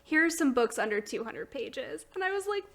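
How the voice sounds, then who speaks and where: A woman's voice: sits high, very animated, fairly quick, creaky. A woman hosting a podcast in a casual book chat, talking informally and chattily and laughing readily. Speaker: podcast host.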